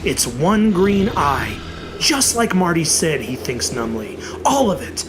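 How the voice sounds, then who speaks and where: A narrator reading a horror story in a theatrical, dramatic way, with emphatic, drawn-out words. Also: medium pitch, big pitch swings, over music.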